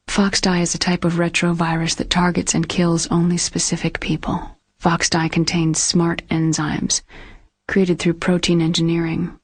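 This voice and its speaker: An actress playing a sombre scientist in a video game, confiding in a husky, breathy voice and explaining precisely. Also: female voice, on the low side, no room sound. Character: sombre, secretive female scientist, calm and confiding. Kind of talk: dramatic video game voice acting.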